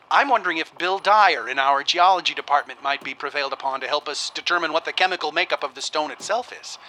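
An actor playing a courteous, erudite male academic who speaks haltingly and keenly while recording a spoken letter in a radio drama. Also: on the high side, bright, forceful tone. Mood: eager, thoughtful. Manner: erudite, polite, halting with enthusiasm. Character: scholarly, courteous, excitable university academic man. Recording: faintly noisy, old recorded-letter sound.

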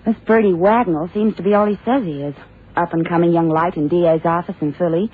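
An actor playing a loyal, plain-spoken investigator in a radio crime drama, clipped and questioning. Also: male voice, high-pitched, steady tone, a little fast, husky. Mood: reporting. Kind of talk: 1960s radio drama acting. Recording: thin, phone-like old radio recording.

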